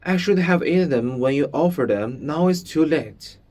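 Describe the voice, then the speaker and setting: A man's voice: a little high, lively intonation, normal speed, darkish tone, pitched above the performer's own voice, close to an everyday voice. A man voicing a timid, anxious young boy in a read-aloud story, with a worried delivery. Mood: fearful.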